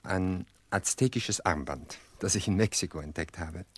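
An actor playing an earnest, weary middle-aged widower in a radio play, speaking in a measured, reflective way with hesitant pauses. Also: male voice, deep voice, slightly rough, dry recording. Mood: warm, courteous. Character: weary, earnest widower confessing to his sister-in-law. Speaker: actor in an audio drama.

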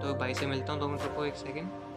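A man's voice: fairly high pitch, little pitch movement, fast talker. A young man riding a motorbike outdoors and rambling chattily to his viewers, recorded on a camera mounted on the rider. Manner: chatty, rambling, keeps addressing viewers as guys. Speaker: motovlogger. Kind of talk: casual vlog narration while riding. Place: outdoors on a motorbike on open roads. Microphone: action camera mounted on the rider. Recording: loud background music and wind noise.

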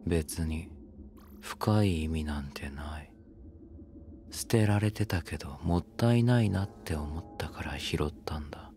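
Sullen sarcastic young warlord, really low breathy mumble, curt, music under it. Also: male voice, unhurried, dark tone. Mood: curt, evasive. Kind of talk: Japanese voice drama acting.

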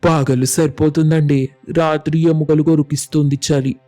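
A man's voice: a little high, flat delivery, moderate pace, warm tone, dry recording, faint music behind, lightly acted. A man playing a poor village labourer in a moral story, deferential and pleading. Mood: humble pleading. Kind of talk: animated storybook dialogue.

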